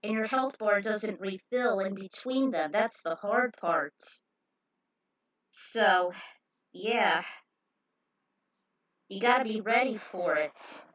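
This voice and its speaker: A woman's voice: medium pitch, lively intonation, slow, pauses often, fairly bright tone, no echo, highs cut off. A woman narrating a story and chatting casually over gameplay. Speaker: storyteller and gamer reading own fan fiction.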